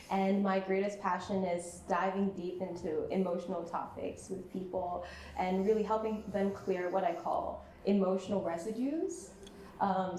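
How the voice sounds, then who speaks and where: A woman from Singapore who works as an emotional wellness guide, speaking softly and reflectively on a panel. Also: mid-range voice. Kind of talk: panel introduction and personal story. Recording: echoey room with faint background noise.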